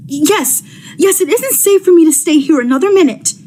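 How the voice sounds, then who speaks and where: A woman playing a high-strung, frightened widow in a vintage radio thriller, with an anxious, pleading, melodramatic delivery. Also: expressive, moderate pace, dark tone, a little hiss or hum. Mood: uneasy.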